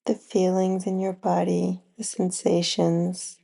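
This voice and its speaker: A woman leads a guided relaxation as a yoga nidra teacher. Her voice is mellow and soft-edged, with a clear creak of vocal fry. She speaks very slowly, in short phrases separated by long pauses. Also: on the low side, level tone. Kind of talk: slow guided relaxation meditation.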